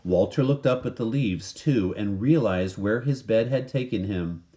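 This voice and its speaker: A man reading a children's story aloud in expressive scripted narration. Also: fairly low pitch, a little slow. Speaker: storybook reader.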